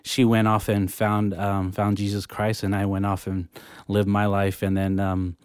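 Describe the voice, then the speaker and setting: New Zealand-born pastor, low and smooth. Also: male voice, monotone, darkish tone, no echo. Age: 30s. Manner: halting, with many ums and restarts. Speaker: campus pastor, guest. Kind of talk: casual interview.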